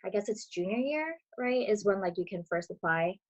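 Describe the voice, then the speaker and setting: A woman in her twenties, born in Brazil and raised in Florida, giving relaxed, chatty interview answers as a dental student over a video call. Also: mid-range voice, normal speed, muffled recording. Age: 20s. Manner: chatty, relaxed, many fillers.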